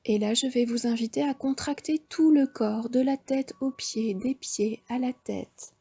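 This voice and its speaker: Slow soft-spoken French woman guiding relaxation. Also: fairly high pitch, gravelly, husky. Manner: slow, soft, half-whispered breathing cues. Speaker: yoga nidra teacher. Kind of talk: guided relaxation instruction.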